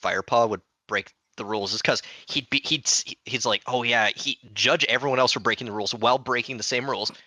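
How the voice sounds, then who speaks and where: A man's voice: mid-range voice, quick pace, few pauses, pushed and bright, dry recording. A man in a casual book club discussion, questioning and arguing his points as a panelist.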